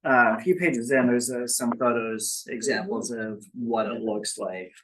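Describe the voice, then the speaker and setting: A man from Seattle, a food vendor, presenting his business to a city board in a relaxed, explanatory way with frequent "um" fillers. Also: medium pitch, unhurried, poor audio. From Seattle, USA. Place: city board meeting room.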